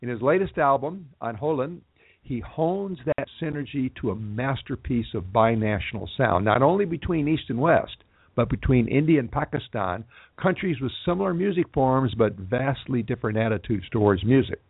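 A man hosts a live radio show, reading an ad and then chatting with musicians, his speech full of pauses and restarts. The sound is dry and tinny, like a narrow phone-band recording with no room around it. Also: medium pitch, lively intonation, husky, no room sound. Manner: halting, many fillers and restarts. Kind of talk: live radio interview and ad read.

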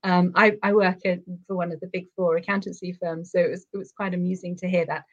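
A chatty, earnest woman with a London accent, a touch low in pitch, talking at length as a guest. Her voice comes through dull lo-fi call audio. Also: flat delivery, fast talker. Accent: London English. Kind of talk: casual interview chat over a call.

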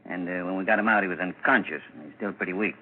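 An actor playing an easygoing, plain-spoken police constable in a 1940s radio drama, folksy and matter-of-fact. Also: male voice, low voice, fast, natural voice. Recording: crackly, narrow-band 1940s radio broadcast.

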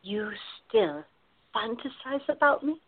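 A woman acting a tender, protective woman in love, quietly insecure, in a radio play. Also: fairly high pitch, slow pace, breathy edge, narrow, tinny audio. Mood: tender, unsure.

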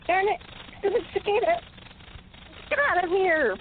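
A woman's voice: very high voice, a little slow, slight background noise. A woman hosting a casual talk show, chatty and easily sidetracked, a little tired. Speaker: podcast host. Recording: tinny phone-like line.

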